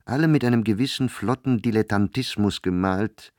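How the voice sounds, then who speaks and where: An actor as an urbane literary narrator in a radio drama, measured and dryly ironic. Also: male voice, on the low side, a little mellow, dry recording, clean studio sound, lightly acted. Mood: descriptive, ironic.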